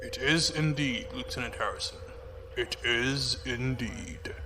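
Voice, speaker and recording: A man playing a cold, composed imperial commodore in a science fiction audio drama, formal and deliberate. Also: medium pitch, natural voice. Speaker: fleet commander. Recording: noisy ship-like ambience behind.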